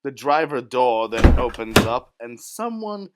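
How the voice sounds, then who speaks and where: A man's voice: medium pitch, big pitch swings, measured pace, heavy background noise. A man narrating a scripted radio drama in a theatrical, tongue-in-cheek manner.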